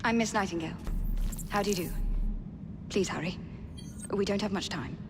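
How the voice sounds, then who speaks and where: An actress playing an earnest, firm Victorian lady nurse in a game, with an English accent and a measured, authoritative manner. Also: mid-range voice, lightly acted. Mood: resolute. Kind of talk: video game cutscene acting. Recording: long reverb, clear background noise.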